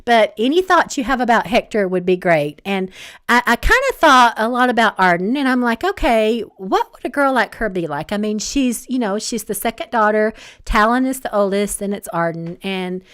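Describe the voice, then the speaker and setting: A woman with a Southern accent from Alabama, an author chatting casually on a livestream and reading out viewer comments, rambling with many pauses and restarts. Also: mid-range voice, lively intonation, a bit bright, clean tone. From Northeast Alabama. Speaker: author hosting a live book chat.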